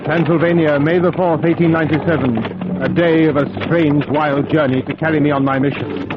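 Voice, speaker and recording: An actor playing an earnest, proper young English solicitor in an old-time radio drama, formal and dramatic. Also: male voice, on the high side, fairly even intonation, moderate pace, close to an everyday voice. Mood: grave, troubled. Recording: dull vintage radio recording over loud music.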